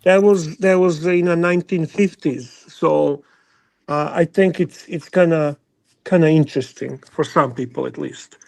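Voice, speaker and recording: A man with a Serbian accent chatting casually about watches on a livestream, halting and restarting often. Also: on the high side, vocal fry, darkish tone, dry recording. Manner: halting, lots of restarts and filler.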